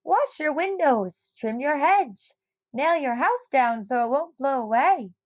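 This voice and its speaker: A woman's voice: sits high, expressive, slow, dry recording, dull audio, pitched above the performer's own voice. A woman voicing an old male animal neighbour in a storybook, a doubtful busybody with a demanding, nagging manner. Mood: nagging alarm.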